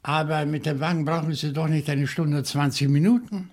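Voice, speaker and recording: An actor playing a calm, probing questioner in a German radio crime drama, speaking deliberately and insistently. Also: male voice, on the high side. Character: calm, probing man questioning a suspect, Monsieur Fougère.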